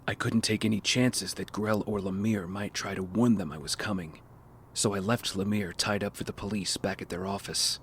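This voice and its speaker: A man's voice: low voice, a bit bright, slight background noise. A man playing a brash, wry young American castaway and vigilante in an audio drama. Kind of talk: audio drama acting and narration.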